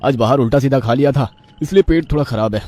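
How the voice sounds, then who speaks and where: A man voicing an evasive, smooth-talking young cheating husband in a family story. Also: mid-range voice, quick pace, mellow, natural voice.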